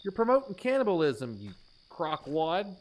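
A man's voice: high-pitched, very animated, normal speed, low-quality recording. A man in his thirties giving crude, playful, exaggerated joking commentary as he streams a horror game.

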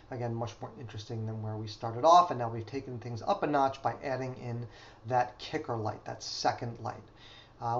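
A man's voice: medium pitch, a little mellow, dull audio, slight background noise. A man narrating a photography lighting lesson over still images, explaining step by step and often checking in with "okay?". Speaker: photography instructor. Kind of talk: instructional voice-over.

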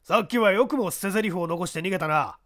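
A man's voice: high voice, fast talker, fairly bright tone. A man voicing a jealous, threatening big young man in an audiobook drama. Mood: angry, demanding. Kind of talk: audiobook character acting.

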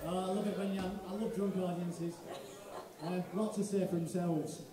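A croaky-voiced man chats jokily between songs on a small indoor stage, speaking into a stand mic in a fairly high register. The sound comes across roomy and a little distant, as if caught by a camera out in the hall. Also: steady tone, moderate pace, crowd noise. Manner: chatty, jokey. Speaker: singer. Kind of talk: casual stage banter. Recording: echoey room from a distant camera.

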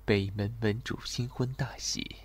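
A man's voice: on the low side, fairly even intonation, unhurried. A man playing a weary, reserved young general in an ancient Chinese audio drama, speaking formally and courteously. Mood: troubled, brooding.

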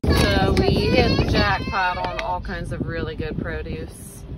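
A young woman chatting to her phone camera in a relaxed vlog while driving and doing yard work. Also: on the low side, unhurried, very noisy. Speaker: lifestyle vlogger. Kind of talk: casual vlog narration. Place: car interior and outdoor garden. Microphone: phone camera at arm's length.

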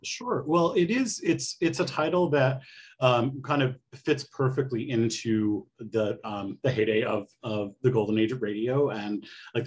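A middle-aged American man from Connecticut with a husky voice, chatting casually as an interview guest on a video call. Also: lowish voice, poor audio. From Connecticut, United States. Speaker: guest playwright.

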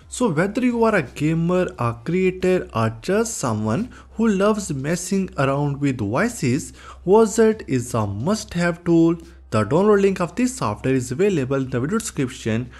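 A man hosting a tech tutorial, reading scripted narration as a voice-over. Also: on the high side. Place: voice-over recording. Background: background music.

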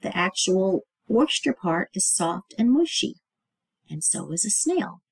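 Female teacher for a kids' read-aloud lesson. Lively pitch swings, husky edge, clear emphasis on key words. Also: a little slow, no room sound. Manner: explanatory, emphatic on key words, asks the listener questions. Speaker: teacher reading a children's book aloud.

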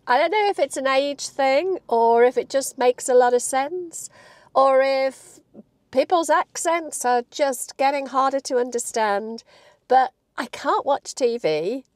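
A woman in her late fifties talks to her viewers from the driver's seat of a parked car, her voice croaky from a cold. She is chatty and animated and laughs often, with a slightly high pitch. The sound is close and dry, picked up by a lapel mic. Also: dry recording. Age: 50s. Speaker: vlogger. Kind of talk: casual talk to camera.

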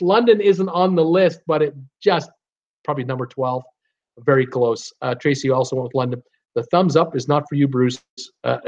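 A man in his sixties hosting a casual livestream quiz from a home office, reading out guesses and answering himself in short, clipped phrases. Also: a little high. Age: 60s. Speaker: livestream host. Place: small home office, indoors. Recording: slightly dull webcam audio.

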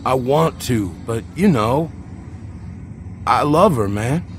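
An actor playing a cocky, self-pitying convict in a video game, talking in brash, needling slang. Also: male voice, medium pitch, expressive, takes it slowly, background noise. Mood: mopey. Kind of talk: video game dialogue acting.

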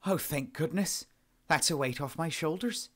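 A man's voice: on the high side, normal speed, fairly bright tone, voice pushed up, lightly acted. A man voicing a blunt, friendly, informal woman adventurer in an audiobook. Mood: relieved. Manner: informal, plain-spoken.

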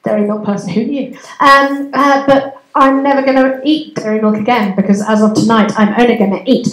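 Bubbly high-voiced English woman emceeing. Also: steady tone, brisk. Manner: bubbly, chatty, teasing the crowd. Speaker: MC of a comedy night. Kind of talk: live emcee banter. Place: community centre hall, live audience. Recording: echoey hall with audible noise.

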